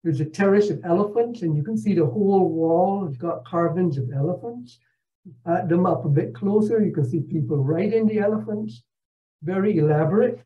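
An older man from Barbados giving a narrated travel slideshow over a video call, speaking in a measured, halting, explanatory way. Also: sits high. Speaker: presenter, retired professor. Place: indoor room at home, on a video call. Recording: dull video call audio.

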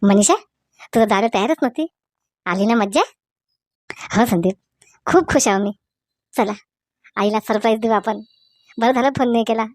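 A woman's voice: fairly high pitch, moderate pace, long pauses, darkish tone, close to an everyday voice. A woman voicing a cheerful young married couple in a comedy cartoon, chatting eagerly and familiarly. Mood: happy, excited.

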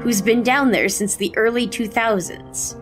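A woman's voice: a little high, unhurried, lightly acted. A young woman playing a concerned, frank confidante in an audio drama, with a firm, reasoning delivery. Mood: insistent. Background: music.

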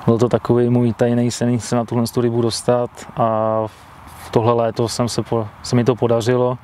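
Flat-spoken man in his thirties, outdoors. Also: medium pitch, slight background noise. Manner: plain, matter-of-fact, flat delivery. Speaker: carp angler being interviewed. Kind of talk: relaxed outdoor interview. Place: outdoors by a lake, seated at a fishing bivvy.

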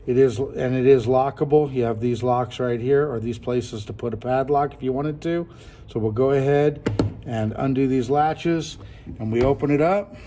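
A man's voice: mid-range voice, normal speed, dark tone, a little hiss or hum. A man in his fifties giving a casual, step-by-step product demo in a classroom, talking through each move and repeating himself as he works. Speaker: product reviewer. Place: classroom at a table.

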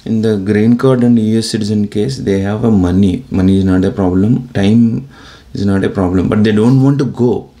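A man's voice: lowish voice, moderate pace, dark tone. A middle-aged man from India with an Indian accent, talking to camera in a small room in an earnest, rambling way. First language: Telugu. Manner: rambling, earnest, gestures with hands. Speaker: YouTuber. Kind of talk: casual talk to camera. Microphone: device camera close up at low angle.

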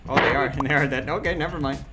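A man's voice: steady tone, fast talker, rough recording. A man hosting a casual gaming session, playful and teasing, laughing often and chatting with friends over a headset mic. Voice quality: deep-sounding mic voice. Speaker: gamer host. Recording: game music behind.

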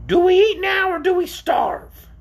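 A reader voicing a shrill, scornful and self-pitying male orc creature in a storybook, with a plaintive, complaining manner. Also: lively intonation, unhurried, gravelly, exaggerated voice. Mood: demanding. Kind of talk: acted storybook character.

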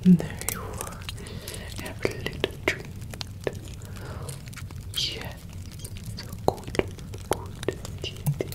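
A young woman whispering gently and soothingly in an ASMR roleplay, cooing as a witch to her pet cat, close to the microphone. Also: very slow, pauses often. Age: young adult. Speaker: ASMR performer.

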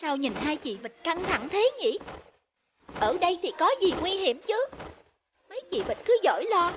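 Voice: very high-pitched, very animated, moderate pace, muffled recording, background noise. An actor voicing a mischievous, playful young frog in a children's cartoon fable, teasing and exclaiming. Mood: bored, scheming. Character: mischievous, cheeky young frog who loves playing pranks.

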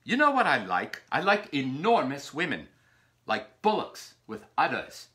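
A man's voice: fairly high pitch, wildly swooping pitch, unhurried, pushed and bright, full character voice. A man acting in a stage play as a cruel, agitated lover ending an affair, insistent and repetitive. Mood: scornful, insistent.

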